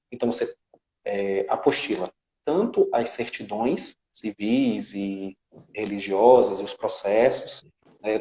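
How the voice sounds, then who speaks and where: A man's voice: fairly low pitch, darkish tone, roomy sound, lo-fi sound. A man with a Brazilian Portuguese accent, a guest specialist explaining a legal process step by step and often checking in with tag questions. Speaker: citizenship law specialist, guest. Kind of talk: explanatory interview talk.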